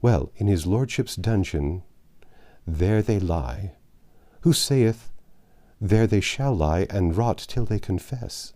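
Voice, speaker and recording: Man voicing a weary, grieving medieval peasant mother, animated. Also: low voice, slow. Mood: bitter, then indignant. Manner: impassioned archaic storytelling. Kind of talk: dramatic audiobook character reading.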